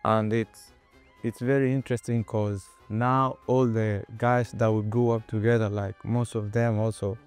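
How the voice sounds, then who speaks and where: A man's voice: on the low side, flat delivery, a little slow, darkish tone, clear, resonant tone, soft background music. A young man with a Kenyan accent, answering interview questions in a hesitant way with many fillers. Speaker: footballer guest.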